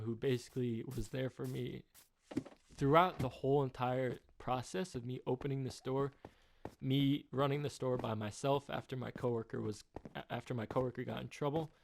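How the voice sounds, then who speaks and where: A man in his twenties rambling through a personal update, halting with many fillers and restarts, subdued by a rough time. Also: mid-range voice, slight background noise. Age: 20s. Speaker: YouTuber.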